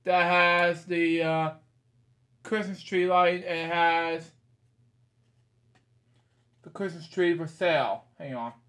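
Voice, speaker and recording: A man's voice: fairly high pitch, steady tone, takes it slowly, long pauses, fairly bright tone. A man in his thirties reading a picture book aloud in a small bedroom, rambling with casual asides and stock phrases.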